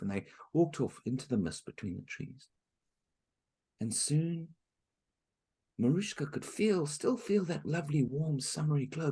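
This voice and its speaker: Casual: guy telling a folk tale to a group, super expressive, raspy voice. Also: fairly high pitch. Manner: animated, chatty asides to listeners. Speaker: storyteller.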